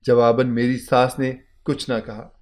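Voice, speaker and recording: A man's voice: mid-range voice, flat delivery, a little slow. A man narrating a scripted, dramatic first-person story as a storyteller.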